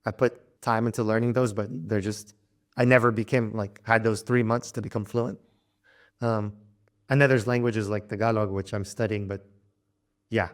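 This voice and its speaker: Relaxed, halting man in conversation. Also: lowish voice. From Louisiana, United States. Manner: relaxed, halting, many restarts and fillers, laughs often. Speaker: interview guest, traveler and polyglot. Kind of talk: casual interview conversation.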